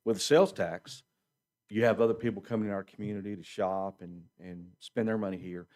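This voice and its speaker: A man answering council questions at a city meeting, polite and hesitant, with many pauses and restarts. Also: fairly low pitch, darkish tone. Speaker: utility organization official answering council.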